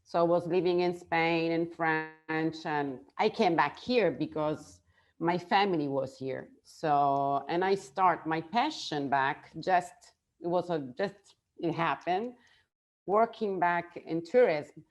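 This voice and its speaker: A woman with an Italian accent, a native Italian speaker, chatting on a video call and often pausing to search for words. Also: deep voice. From Italy. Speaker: guest. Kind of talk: casual video call chat.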